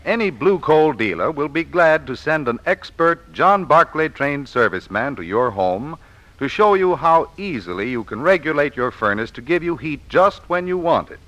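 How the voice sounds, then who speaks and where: A man's voice: on the high side, sing-song, moderate pace, fairly bright tone, rough recording. A man reading a scripted 1940s radio advertisement as an expert spokesman, folksy and persuasive, on an old broadcast recording.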